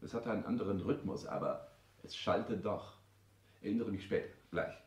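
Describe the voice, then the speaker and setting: An actor playing a cold, calculating young careerist son, snobbish, formal and imperious, in a 1960s German radio comedy. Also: male voice, on the low side, moderate pace, a little mellow, natural voice. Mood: brisk, commanding. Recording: roomy, echoing studio sound.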